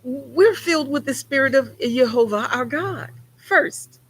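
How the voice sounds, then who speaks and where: A woman delivers a sermon with a husky, breathy edge to her voice. She preaches in an emphatic, conversational way, with folksy turns of phrase. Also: high voice, animated, a little slow.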